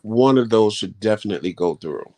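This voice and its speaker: A man's voice: lowish voice, lively intonation, a little fast, a little mellow. A man hosting a vlog live stream, chatting casually with viewers in a rambling, folksy way. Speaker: vlog host answering live chat.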